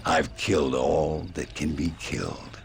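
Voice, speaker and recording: An actor playing a weathered old gunslinger in a western video game, wry and world-weary, with a gravelly drawl. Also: male voice, extremely low pitch, measured pace, faint music behind, full character voice. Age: older.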